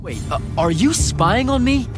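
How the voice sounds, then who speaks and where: A young actor playing a wisecracking young battlemage in a video game, stammering and quick to joke. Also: male voice, very high voice, very animated, music in the background, exaggerated voice. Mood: defensive. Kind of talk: video game cutscene acting. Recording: game audio with orchestral score.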